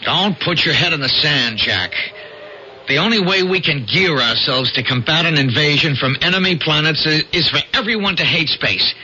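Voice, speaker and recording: Forceful, excitable boastful astronomer. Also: male voice, fairly high pitch, moderate pace. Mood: smug. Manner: sardonic, stammering when rattled. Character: boastful, jealous young astronomer, jittery under his bluster. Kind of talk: old-time radio drama acting. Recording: dull, noisy old radio recording.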